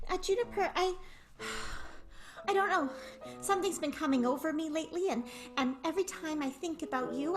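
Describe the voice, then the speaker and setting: A woman playing a shy, lovestruck vaudeville performer in an improvised radio play, halting and stammering. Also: very high-pitched, fairly bright tone. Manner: hesitant, stammering.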